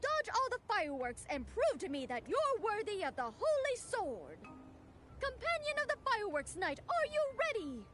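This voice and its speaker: A woman's voice: very high voice, wildly swooping pitch, moderate pace, loud music behind the voice, heavily acted. A woman voicing a grandiose, theatrical examiner of a knightly order in a video game, pompously proclaiming with solemn eagerness. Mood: solemn, stern.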